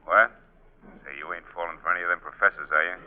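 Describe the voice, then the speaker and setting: A man's voice: sits low, fast, close to an everyday voice. An actor playing a menacing, cocky gangster with slangy wise-guy talk in a 1940s radio drama. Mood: pushy. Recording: thin, muffled 1940s radio broadcast.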